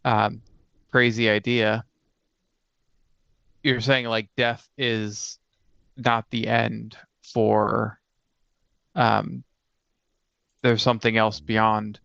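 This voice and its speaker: A man's voice: on the low side, level tone, very slow, vocal fry, pauses often, fairly bright tone, smooth, dry recording. A man co-hosting a casual chat and brainstorming tabletop fantasy lore, on a dull, lo-fi close mic. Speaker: podcast co-host.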